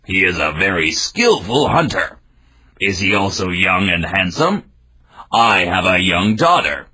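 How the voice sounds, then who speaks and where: A man's voice: low voice, big pitch swings, unhurried, sharp tone, breathy edge, heavily acted. A man voicing a curious, proud king in a storybook reading, speaking in a stately, inquiring way.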